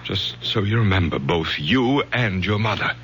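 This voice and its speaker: Urgent, forceful, low-voiced father. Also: animated, normal speed. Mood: urgent, controlling. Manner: urgent, insistent, repeating himself. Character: anxious, domineering middle-aged father, protective and controlling. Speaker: actor. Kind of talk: old-time radio drama acting. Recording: noisy, humming old radio recording.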